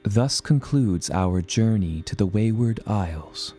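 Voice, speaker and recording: A deep, mellow adventurer recounting his journal. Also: lively intonation, faint music behind. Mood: tender, wistful. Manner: measured, literary storytelling. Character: adventurous young explorer hero recounting his journal, earnest and reflective. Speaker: narrating character. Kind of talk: scripted first-person audio drama narration.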